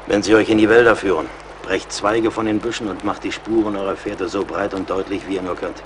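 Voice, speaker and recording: Man acting a low-voiced, commanding frontier scout. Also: steady tone, a little fast, natural voice. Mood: sober, resolute. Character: seasoned, relentless male frontier scout, grimly practical and loyal. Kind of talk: German radio play acting. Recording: clear battle and outdoor noise behind.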